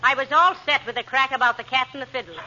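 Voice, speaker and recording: An actress playing a sensible, wry, good-natured wife in folksy 1940s radio comedy style. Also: female voice, high voice, steady tone, fast, sharp tone, a little hiss or hum. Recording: muffled vintage radio, faint audience.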